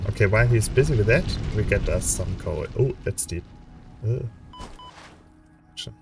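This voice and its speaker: A man's voice: fairly low pitch, expressive, normal speed, creaky, recorded from a distance, over music. A young man with a German accent, casually commenting on a game he plays, full of hesitant fillers and restarts. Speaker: let's play streamer. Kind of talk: relaxed gameplay commentary with chat.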